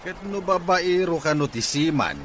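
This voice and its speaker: A man's voice: on the high side, lively intonation, normal speed, a bit bright, slight background noise. A man playing a distrustful, grumbling older father in an Ilocano audio drama. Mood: suspicious. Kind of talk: Ilocano radio-style drama acting.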